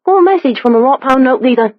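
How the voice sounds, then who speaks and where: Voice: sits high, expressive, fast talker, dry recording, voice pushed up. A hard, sneering little Cockney man, played by an audiobook narrator, suspicious of everyone. He talks in terse, guarded street slang, in a very dry, slightly dull recording. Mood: suspicious, gruff. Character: small wiry suspicious Cockney male messenger, hard and sneering.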